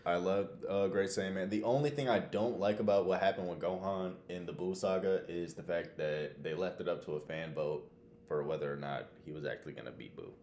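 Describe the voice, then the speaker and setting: A man's voice: low-pitched, fairly even intonation, a little fast, a little mellow, slight background noise. A man co-hosting a podcast, chatting casually and slangily about anime. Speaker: podcast co-host.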